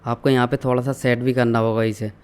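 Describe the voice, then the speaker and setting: A young man calmly walking viewers through an online store setup, step by step, mixing English terms into his explanations. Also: medium pitch, a little fast, clear, resonant tone. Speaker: online tutorial instructor. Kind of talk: screen-share software walkthrough.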